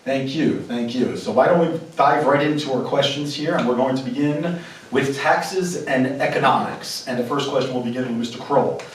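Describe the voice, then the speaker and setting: A man's voice: medium pitch, normal speed, a little hiss or hum. A man hosting and moderating a local political debate in a theater, with formal announcer delivery. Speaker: debate moderator and show host. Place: theater stage before a live audience. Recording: echoey theater from far off.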